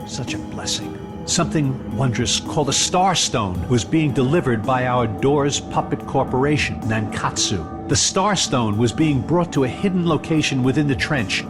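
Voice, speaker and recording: An actor playing a suave, fanatical male scientist villain in an audio drama, explaining things in a deliberate, patronising, theatrical manner. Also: medium pitch, lively intonation, music in the background, natural voice. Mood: proud, lecturing.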